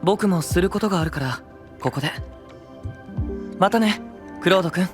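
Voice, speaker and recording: Man as an enigmatic, fairly high-voiced young knight. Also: normal speed, over music, lightly acted. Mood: quietly troubled. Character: gentle-spoken, enigmatic, idealistic young male knight leader. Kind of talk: anime-style voice drama acting.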